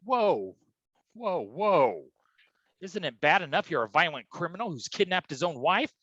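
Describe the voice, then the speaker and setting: A blustering private eye from a noir stage comedy, acted out by a man, slangy and theatrical. His voice is bright and forceful, leaping around in pitch and sitting a little high for a tough guy, with a dry, close sound. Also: big pitch swings, unhurried, dry recording, dull audio, exaggerated voice. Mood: exasperated bluster. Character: hard-boiled, blustering, dim male private detective.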